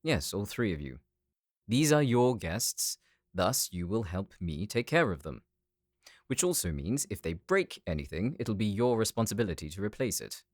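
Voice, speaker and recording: A man's voice: lowish voice, sing-song, normal speed, no echo, clean studio sound. A man playing an exasperated, sardonic but responsible character in an audio drama, talking in a sarcastic, scolding way.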